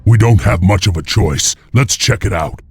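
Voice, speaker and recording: Weary heroic wolf lord, low and grave, clipped phrasing, game cutscene with light music. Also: male voice, moderate pace, husky. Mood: wary. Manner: terse, grave. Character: stoic, weary, resolute male wolf-clan lord and hero. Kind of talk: dramatic video game acting.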